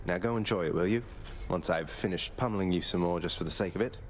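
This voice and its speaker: An actor playing a polite, wry, defiant young underworld prince in a video game, dry and understated. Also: male voice, sits low, quick pace, soft background music. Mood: friendly, wry. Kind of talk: video game character acting.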